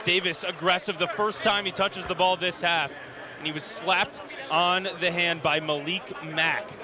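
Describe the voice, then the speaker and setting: A man calling live basketball play-by-play on radio with an energetic, excitable delivery. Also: sits high, a little slow, a crowd in the background. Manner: energetic, rising excitement on big plays. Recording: loud arena noise behind the voice. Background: basketball arena with bouncing ball.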